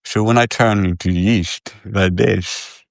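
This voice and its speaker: A man playing a deadpan, deep-voiced yeast boy. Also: slow, vocal fry, no room sound, studio quality. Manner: deadpan, earnest, rambling explanations. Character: odd deadpan teen boy who turns into yeast. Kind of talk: improvised comedy podcast character.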